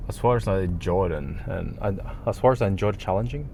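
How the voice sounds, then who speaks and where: A man with a low voice and Japanese as his native language talks in a casual, rambling vlog monologue. His phrasing is non-native and slangy, with some swearing. Clear street and vehicle noise sits behind him. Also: brisk, dark tone. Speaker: vlogger.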